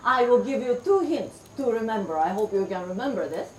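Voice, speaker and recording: A middle-aged woman from Japan, telling stories to children in a slow, clear way and getting them to repeat words. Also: on the high side, lively intonation, a little mellow. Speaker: storyteller. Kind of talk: interactive storytelling for children. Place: room with a live child audience. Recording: roomy, distant sound with faint background noise.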